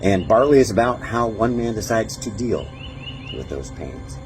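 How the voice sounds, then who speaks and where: A man, a voice actor, giving a measured promotional talk with small pauses. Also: lowish voice, expressive, poor audio. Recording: faint music bed underneath.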